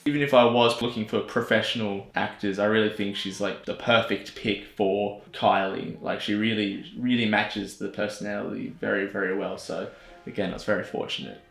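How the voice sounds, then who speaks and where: A young Australian man chatting casually as a filmmaker, self-deprecating and quick to laugh. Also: fairly low pitch, level tone, gravelly, a bit bright. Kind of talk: casual vlog narration. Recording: echoey room with music under it.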